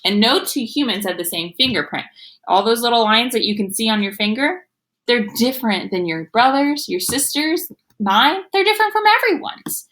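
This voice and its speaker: A woman's voice: fairly high pitch, expressive, moderate pace, fairly bright tone. A woman teacher reading aloud to young children, explaining as she goes in an instructive way and sounding out words. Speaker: elementary school teacher.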